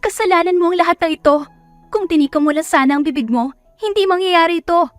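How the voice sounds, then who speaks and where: Woman playing a shrill, spiteful, haughty young woman. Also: fast talker, dry recording, soft background music. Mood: furious, accusing. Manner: shrill, scolding, accusing. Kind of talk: dramatic audio story acting.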